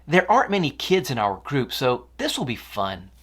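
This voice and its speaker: A woman voicing a kind, upbeat young schoolgirl in a children's story, speaking with animated encouragement. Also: extremely low pitch, normal speed, husky, exaggerated voice. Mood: confident.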